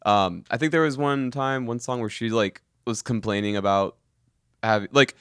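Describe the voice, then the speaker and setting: A man's voice: medium pitch, moderate pace, a bit bright, clean tone, no echo, studio quality. A young adult man chatting casually as a podcast co-host. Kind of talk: casual chat.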